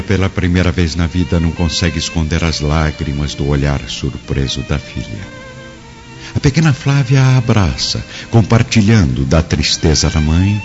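A man narrating a radio drama in a dramatic, scripted style. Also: very deep voice. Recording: muffled radio drama under loud music.

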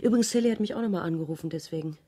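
A concerned, doubtful character in a radio crime play. Her voice sits a little low. She is reserved and politely impatient, answering in short skeptical phrases. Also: rapid-fire, mellow, slightly rough. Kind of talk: radio crime drama acting.

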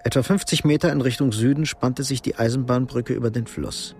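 A man's voice: medium pitch, fairly even intonation, fairly quick, darkish tone, slight background noise. Sober male radio drama narrator.

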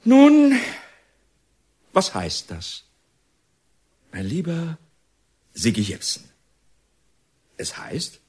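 Pompous, long-winded male director for radio drama: slow, declamatory, with big melodic swings. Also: lowish voice, darkish tone, husky, heavily acted. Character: excitable, theatrical male reformatory director, paternal and long-winded. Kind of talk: German radio drama acting.

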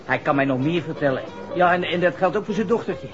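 An actor playing a brash, cocky young playboy with slangy, boastful talk in a 1960s radio drama. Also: male voice, a little high, quick pace, natural voice. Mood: dismissive. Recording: muffled vintage radio recording, music behind.

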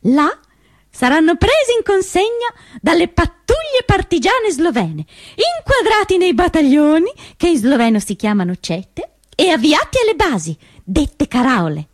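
A woman's voice: very high-pitched, big pitch swings, a little slow, no echo, clean studio sound, heavily acted. A woman on stage playing a commanding partisan organiser who rouses young women, emphatic and conspiratorial. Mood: firm, instructing. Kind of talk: theatrical stage monologue.

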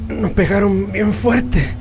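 A man's voice: high voice, slow, over loud music. A man playing a beaten, blindfolded Chilean prisoner in a radio drama, groaning and cautioning his companions, wary and bitter.

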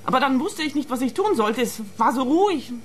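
An actress playing a distraught young woman who is shaken and halting in a radio play. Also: high-pitched, animated, slight background noise.

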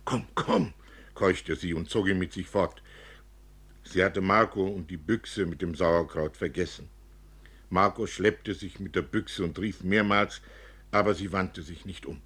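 A man narrating a literary story for radio in an expressive way. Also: deep voice, measured pace, husky.

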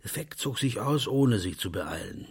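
Low, measured male radio-play narrator. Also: lively intonation, gravelly, slightly rough, heavily acted. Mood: measured, intimate. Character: measured male narrator of a seedy Paris love story.

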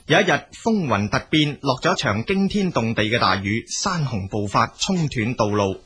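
A man with a Cantonese accent narrating a scripted story in a measured, expository manner. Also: mid-range voice, very animated, fairly bright tone, breathy edge.

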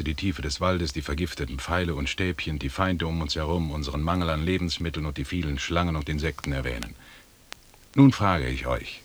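Very deep, husky, stern expedition commander. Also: male voice. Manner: measured, authoritative, rhetorical. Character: stern, commanding male British expedition leader. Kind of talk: German radio drama acting.

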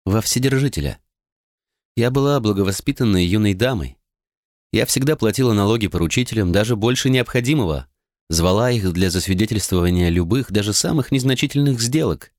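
A man's voice: fairly low pitch, no room sound, clean studio sound, close to an everyday voice. A man voicing a bitter, betrayed young noblewoman in an audiobook, talking in an earnest, rueful way. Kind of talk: audiobook character reading.

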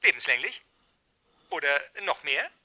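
An actor in a German radio play voicing a sly blackmailer with oily, insinuating politeness. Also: male voice, a little high, sing-song, measured pace, sharp tone, full character voice. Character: sly polite male blackmailer, hypocritically courteous.

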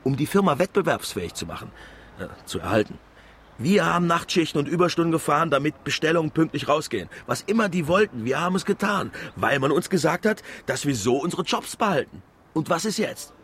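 A man acting in a German radio drama as a stubborn, anxious middle-aged widowed father and factory worker, halting and emotional. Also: a little high, big pitch swings, a bit bright, a little hiss or hum. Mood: bitter, indignant. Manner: halting, emotional, muttering to himself.

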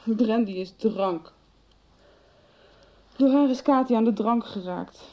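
A woman's voice: fairly high pitch, slow, highs cut off. An actress in a 1990s radio soap playing a blunt, resentful young woman. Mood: spiteful.

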